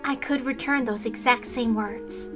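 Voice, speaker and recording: A woman plays an ancient horned priestess from a visual novel, stern and defiant. Her voice is high, her speech grave and formal, with music clearly behind it. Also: a little slow, natural voice. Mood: hostile.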